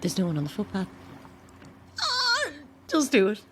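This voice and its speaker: A woman's voice: medium pitch, huge pitch swings, moderate pace, background noise. A woman playing a flustered, self-dramatizing struggling actress who rambles theatrically to herself in an audio drama. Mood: desperate. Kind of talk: modern audio drama acting.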